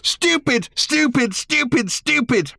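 A man voicing a volatile teenage girl who shouts bitter accusations. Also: very high voice, expressive, moderate pace, vocal fry, no echo, studio quality, far from the mic, voice pushed up, full character voice. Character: angry volatile teenage alien-raised girl.

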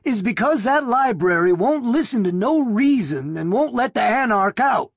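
Male reader doing thin raspy protester, earnest, pitched high and husky. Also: expressive, normal speed, no room sound, studio quality, exaggerated voice. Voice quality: rasping.

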